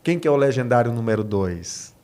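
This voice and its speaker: A man's voice: medium pitch, animated, fairly quick, darkish tone. A man with a Brazilian accent speaking as a pastor on a podcast, teaching conversationally, posing questions and answering them himself, repeating key phrases.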